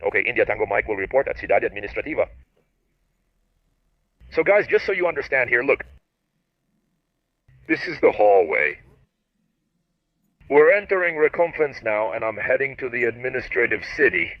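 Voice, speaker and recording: A man's voice: medium pitch, very animated, normal speed, long pauses, dry recording, dull audio. A man flying a helicopter, explaining the flight to viewers and making radio calls on a headset. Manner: explains to viewers, reads crisp radio phraseology. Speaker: helicopter pilot. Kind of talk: casual cockpit commentary with radio calls.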